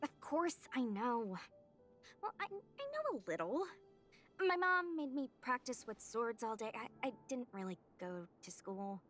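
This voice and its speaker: A woman's voice: on the high side, sing-song, a little slow, a bit bright. A woman voicing a young female knight trained with swords, curious and blunt, in a video game. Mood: defensive. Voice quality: eager, chatty questioning. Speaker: game character. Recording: game audio with faint music behind.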